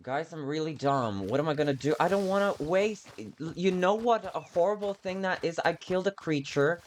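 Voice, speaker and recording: A man giving casual, rambling gameplay commentary, self-deprecating and quick to swear, as he streams a video game. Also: fairly high pitch, lively intonation.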